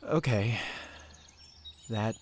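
An actor playing a grieving, shaken young man in an audio drama, hurt and guarded, stammering haltingly through his words. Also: on the low side, a little hiss or hum. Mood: subdued, wary.

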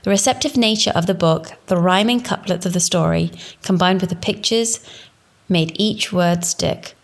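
A woman in her thirties from England, giving a scripted, formal narration for a teaching presentation in a measured way. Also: lowish voice, vocal fry. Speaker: education student presenting.

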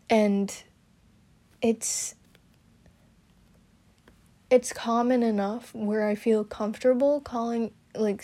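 A young woman talking about her own experience, hesitant and halting, with many fillers and restarts. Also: medium pitch, darkish tone. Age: young adult. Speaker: student podcaster. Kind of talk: personal talk about her stutter.

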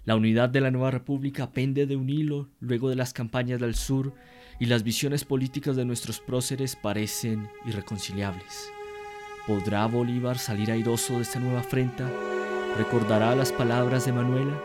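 A man narrating a scripted radio drama in a solemn, theatrical way with measured phrasing. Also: medium pitch, flat delivery, over music.

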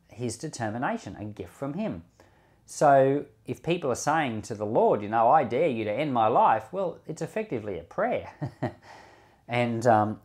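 A man with a mid-pitched voice gives Bible teaching in the role of a pastor. His delivery is conversational and explanatory, in the manner of a podcast commentary on scripture. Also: a little mellow. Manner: conversational, explanatory, with rhetorical questions.

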